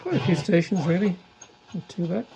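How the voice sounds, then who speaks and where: Mellow, understated older man. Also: high-pitched, fairly quick, gravelly, low-quality recording. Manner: understated, hesitant, trailing remarks. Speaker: radio repairer demonstrating a restored chassis. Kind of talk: casual hands-on demonstration.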